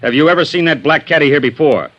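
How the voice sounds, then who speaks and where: An actor playing a gruff, impatient male police sergeant who bullies and needles witnesses, in a 1950s radio drama. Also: a little high, expressive, quick pace, fairly bright tone. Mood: badgering. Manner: bullying, sarcastic interrogator. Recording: dull lo-fi old radio recording.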